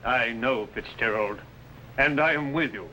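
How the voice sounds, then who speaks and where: Bright, forceful medieval archbishop. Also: male voice, mid-range voice, unhurried. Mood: resolute. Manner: formal, archaic, declamatory. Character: resolute, solemn medieval English archbishop or courtier. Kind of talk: 1950s television costume drama acting. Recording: faintly noisy old TV soundtrack.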